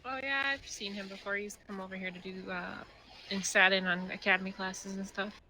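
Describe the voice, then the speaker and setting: A woman's voice: mid-range voice, steady tone, a little fast, sharp tone, slight background noise, poor audio. A woman chatting casually with other players during a roleplay game, easygoing and full of "like" fillers, a little stuffy from allergies. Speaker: roleplay streamer. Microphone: headset or desk mic.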